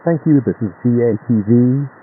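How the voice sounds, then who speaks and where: A man's voice: medium pitch, brisk. Tinny radio-band synthetic male alert voice. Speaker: emergency alert announcer. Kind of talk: scripted emergency broadcast announcement. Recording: tinny narrowband radio with faint noise.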